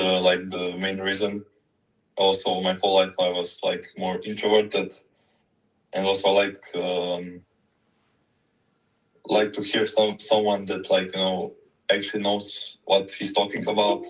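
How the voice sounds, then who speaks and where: Flat deep-voiced Slovenian man. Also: roomy sound, recorded from a distance, rough recording. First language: not English. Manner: hesitant, many likes. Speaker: workshop participant.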